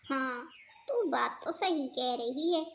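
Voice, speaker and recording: A woman voicing a sympathetic, fussing auntie bird in a cartoon, earnest and expressive. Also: high-pitched, slow pace, a little hiss or hum. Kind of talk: Hindi cartoon voice acting.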